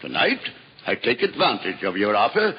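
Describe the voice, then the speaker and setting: A man's voice: lowish voice, wildly swooping pitch, normal speed, breathy edge, highs cut off, full character voice. A man playing a witty, learned priest who teases his friend in a 1950s radio drama. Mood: wry. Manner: dry, teasing, scholarly. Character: witty learned male monk, Father Elias. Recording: old radio broadcast with long reverb.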